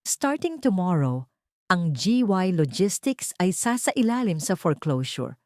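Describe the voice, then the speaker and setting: An icy, scornful wife played by a woman narrator in an audio drama, in a lower female register. Her pitch moves widely as she delivers bitter, vengeful lines, and the sound is close and dry. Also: very animated, a little slow, darkish tone, no room sound. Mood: cold, commanding. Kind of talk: dramatic audio story dialogue.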